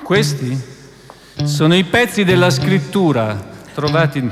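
Stern judge in echoing hall. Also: male voice, a little high, lively intonation, unhurried. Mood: stern, probing. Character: stern, insistent male judge interrogating an accused man. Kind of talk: formal Italian radio drama acting. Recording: long hall reverb, music underneath.

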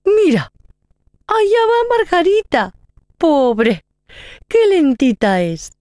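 Storybook hare female actor: overconfident, breezy, high-pitched, very lively intonation. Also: slow, no echo, clean studio sound, exaggerated voice. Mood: cheerful, smug. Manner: breezy, chatty, exclaiming. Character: carefree, chatty, overconfident mother hare. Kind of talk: children's audio story acting.

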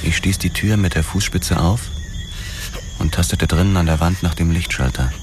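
An actor playing a police detective who narrates a grim crime radio drama in a sombre, deliberate, terse way. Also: male voice, deep voice, steady tone, background noise. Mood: grim, tense. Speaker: first-person narrator.

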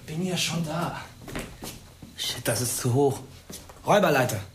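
An actor playing a wary, sensible teenage schoolboy in a naturalistic radio drama, urgent and colloquial. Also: male voice, fairly high pitch, lively intonation, measured pace, echoey room, background noise. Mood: brisk.

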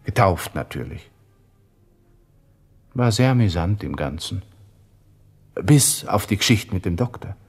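An actor playing an arrogant, irritable young Austrian lieutenant from Vienna, brooding and grumbling to himself in a radio play monologue. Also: male voice, sits low, animated, a little slow, long pauses, darkish tone, husky, full character voice. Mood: irritated brooding.

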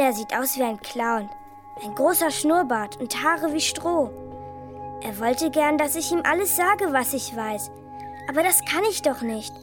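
A secretive little girl with a high, childlike voice confides in a hushed, clinging way. A radio drama voice with faint music under it.

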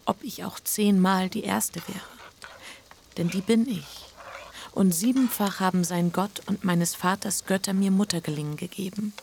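An actress playing a bitter, neglected first wife and mother addressing her sons, hushed and intense in a literary radio drama. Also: mid-range voice, a little hiss or hum, close to an everyday voice.

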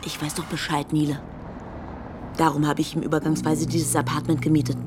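A woman's voice: deep voice, monotone, fairly quick, background noise, close to an everyday voice. An actress playing a scornful, indignant woman in a German radio drama, cutting and sarcastic as she accuses. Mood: accusing, bitter.

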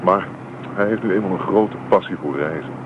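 Actor as earnest young farmer's son, tinny recording. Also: male voice, sits low, fairly quick, lightly acted. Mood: modest, chatty. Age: young adult. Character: earnest, self-doubting young farmer's son back from abroad. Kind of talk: Dutch radio play acting. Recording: tinny, noisy old radio play recording.

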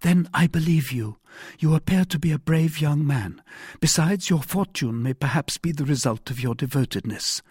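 A man's voice: a little high, moderate pace, a little mellow, slightly rough, no room sound, studio quality, pitched above the performer's own voice. A man voicing an anxious, pleading young married woman in an audiobook, with eager, formal old-fashioned speech. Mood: wary, earnest. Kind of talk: audiobook character voice.

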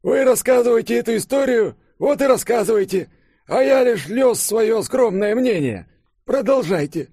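A man's voice: very high-pitched, expressive, normal speed, creaky, warm tone, slightly rough, no echo, clean studio sound, pitched above the performer's own voice, full character voice. A man voicing a grumpy, querulous old professor with huffy, pompous speech in an audiobook. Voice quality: elderly, grumbling, puffing.

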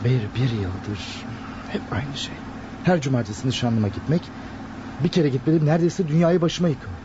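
An actor playing a meek young fiancé in a radio drama, hesitant and stammering, secretly fed up. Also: male voice, medium pitch, moderate pace, natural voice. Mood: weary, grumbling. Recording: radio play with clear background noise.

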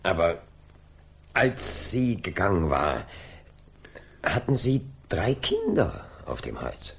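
An actor playing a probing, persistent middle-aged police inspector in a German radio drama. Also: male voice, deep voice, very animated, takes it slowly, gravelly, heavily acted. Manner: inquisitive, insistent questioning.

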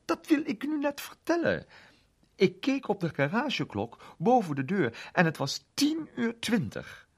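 A man's voice: sits high, wildly swooping pitch, unhurried, husky, pitched above the performer's own voice, exaggerated voice. A man reading a story, voicing a fussy, unmarried woman art teacher who stammers and repeats herself in a raised voice. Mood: flustered.

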